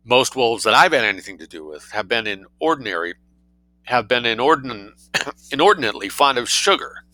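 A man's voice: medium pitch, very animated, a little fast, pushed and bright, no echo, poor audio. A man voicing a pompous, unruffled English lord in a short story, speaking formally and pedantically. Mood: measured.

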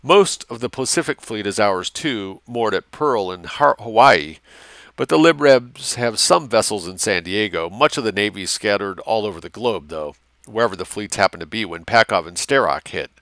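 A man's voice: on the low side, expressive, moderate pace, fairly bright tone, dry recording. A man voicing a blunt, cynical officer giving a briefing in a war novel, coarse and slangy. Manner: coarse, slangy, matter-of-fact.

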